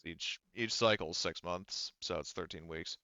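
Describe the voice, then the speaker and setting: A man acting as game master in casual, improvised talk, halting as he thinks aloud. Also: low voice, pushed and bright, no echo, dull audio. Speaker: game master of a tabletop roleplaying game.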